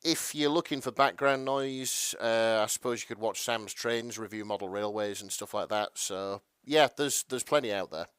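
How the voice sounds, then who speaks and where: A man co-hosting a podcast in casual, rambling chat, halting and often interrupting himself as he goes. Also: fairly low pitch, a bit bright, clean tone, dry recording. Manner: hesitant, halting, self-interrupting. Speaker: podcast co-host.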